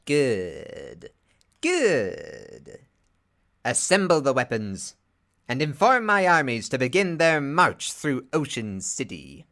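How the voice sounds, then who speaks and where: A man's voice: medium pitch, wildly swooping pitch, takes it slowly, a bit bright, full character voice. A man playing a cold warlord villain in a radio play, declamatory and gloating. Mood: menacing, triumphant. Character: cold, grandiose male villain warlord commanding armies.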